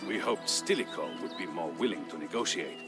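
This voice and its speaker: A man narrating as a grave Visigoth storyteller, recounting war history in a solemn, measured, theatrical way for a historical game. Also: deep voice, expressive. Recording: music behind the voice. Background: music.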